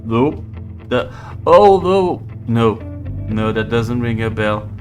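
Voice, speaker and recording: A man's voice: medium pitch, lively intonation, slow pace, faint music behind. A man playing a crude, scruffy young street drunk who swears, scoffs and rambles. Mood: scoffing.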